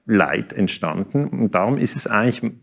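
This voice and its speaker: Deep-voiced man, measured and explanatory. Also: animated, creaky, slightly rough. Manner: measured, explanatory, with frequent restarts and hesitations. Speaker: historian and interview guest. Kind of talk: interview answers.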